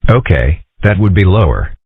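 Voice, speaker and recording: A man voicing a deadpan young fox. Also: low-pitched, normal speed, breathy edge, dry recording, exaggerated voice. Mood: wry. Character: young fox boy, calm and wry. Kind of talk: fan comic dub. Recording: tinny, phone-like, dry.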